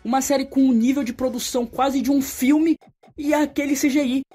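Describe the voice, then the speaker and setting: A man's voice: very high voice, flat delivery, fairly quick, warm tone. A young man with a Brazilian accent delivers opinionated, colloquial video-essay commentary, getting emphatic when he criticizes. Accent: Brazilian Portuguese. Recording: voice-over over background music. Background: music.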